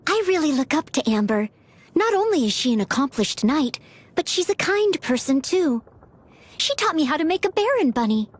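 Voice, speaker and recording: A woman's voice: high-pitched, sing-song, moderate pace. A woman voicing an earnest, polite teenage maid and aspiring knight in a video game, eager to please. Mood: admiring, eager. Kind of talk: video game character voice lines. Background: background music.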